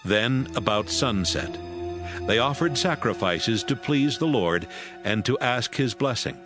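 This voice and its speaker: A man narrating scripture as a measured, scripted storyteller in an audio Bible. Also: mid-range voice, expressive, music in the background.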